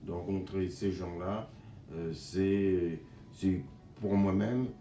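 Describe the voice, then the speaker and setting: Earnest older man with a dark, low voice. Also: fairly even intonation, slight background noise. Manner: earnest, halting, with many restarts. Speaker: association board member. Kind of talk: testimonial interview. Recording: echoey room, mic at a distance.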